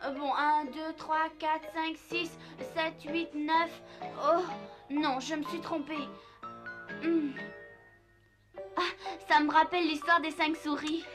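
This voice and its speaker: A woman's voice: high voice, level tone, takes it slowly. A woman voicing a mischievous, easily distracted little wooden puppet boy in a French cartoon dub, cheeky and hesitant. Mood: concentrating, hesitant. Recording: music behind the voice.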